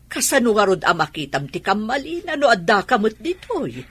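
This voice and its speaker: A woman's voice: mid-range voice, very animated, normal speed, a bit bright, breathy edge. A woman with an Ilocano Filipino accent playing an older mother in an audio drama, questioning and admonishing her grown son. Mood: scolding, questioning. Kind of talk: Ilocano radio-style drama acting.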